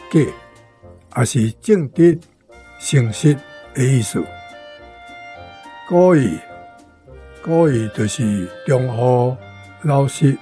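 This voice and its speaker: An older man who works as a language expert explains the meaning of words. He goes very slowly and deliberately, taking them one at a time. His tone is mellow and warm at a middle pitch. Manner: slow, deliberate, word by word. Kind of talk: explanatory lesson.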